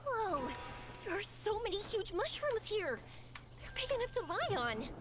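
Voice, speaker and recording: A woman's voice: extremely high pitch, sing-song, a little slow, full character voice. A bubbly cheerful young woman. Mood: excited. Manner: bubbly, encouraging. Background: game music.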